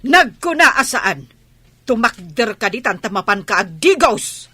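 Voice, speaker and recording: A woman acts out a bossy village wife in a comic radio drama, with an Ilocano Filipino accent. Her voice is bright and forceful, a little high, and very dry in the recording. She scolds and nags in a sharp way. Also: very animated, unhurried, breathy edge, dry recording, heavily acted. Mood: scolding. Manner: sharp, nagging.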